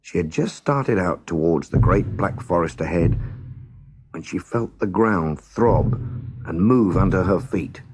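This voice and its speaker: A man narrating a scripted children's story as a storyteller, expressive, measured and dramatic. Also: low voice, noisy background.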